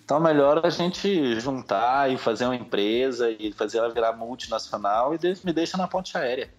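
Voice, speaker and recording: A man's voice: medium pitch, lively intonation, normal speed. A Brazilian man, middle-aged, speaking Brazilian Portuguese as a podcast guest, casually recounting his own experiences. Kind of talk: casual interview, personal recollection. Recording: slightly dull, lo-fi sound.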